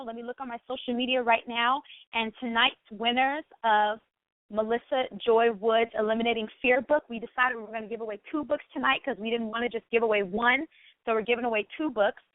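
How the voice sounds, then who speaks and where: A woman's voice: a little high, dry recording, narrow, tinny audio. A woman hosting a radio talk show, speaking in an effusive, complimentary manner.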